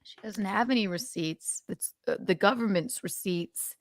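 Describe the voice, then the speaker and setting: A woman's voice: mid-range voice, normal speed. A woman co-hosting a casual chat, tossing in wry quips. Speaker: co-host.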